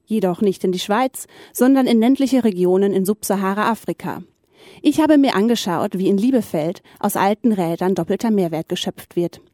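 A woman reporter reads a radio news feature at a medium pitch. Her delivery is clear and even. The recording is dry and close. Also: moderate pace, no echo. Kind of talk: scripted news narration.

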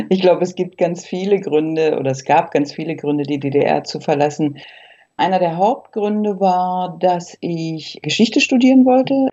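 A woman's voice: lowish voice, a little slow, mellow, highs cut off. A woman in her fifties from Berlin, recollecting reflectively as an interview guest and carefully qualifying what she says. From Berlin, East Germany.

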